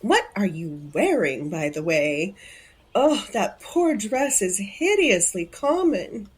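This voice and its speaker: A woman's voice: mid-range voice, very animated, slow pace, husky, full character voice. An actress playing a smug, vain socialite in an audio drama, haughty and mocking in her delivery. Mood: haughty, amused. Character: smug, vain, theatrical body-stealing socialite woman.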